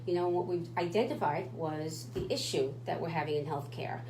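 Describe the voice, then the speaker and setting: A woman's voice: deep voice, moderate pace, a little mellow, roomy sound, slight background noise. A woman co-hosting a radio talk show, speaking in an emphatic, motivational way. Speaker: radio co-host.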